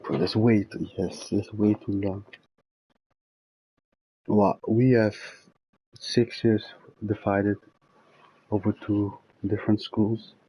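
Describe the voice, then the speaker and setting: A man's voice: lowish voice, flat delivery, slow pace, long pauses, breathy edge, dull audio. A man streaming a video game, chatting casually and thinking aloud as he plays. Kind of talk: casual chat while gaming.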